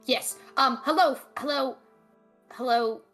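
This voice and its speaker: A woman improvising in a tabletop role-playing game, talking in a halting, stammering way. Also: sits high, a bit bright, soft background music. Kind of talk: improvised character acting and casual play.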